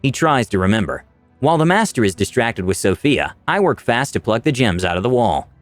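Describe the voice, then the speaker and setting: A man's voice: mid-range voice, big pitch swings, brisk, dry recording. A man narrating a scripted fantasy adventure story in the first person, with dramatic delivery. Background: music.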